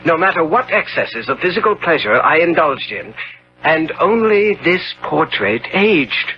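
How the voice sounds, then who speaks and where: An actor playing a corrupt, secretive young gentleman in a theatrical, insinuating manner, in 1970s radio drama style. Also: on the high side, big pitch swings, measured pace, faint music behind. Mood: bitter, intense. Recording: dull, hissy old radio broadcast.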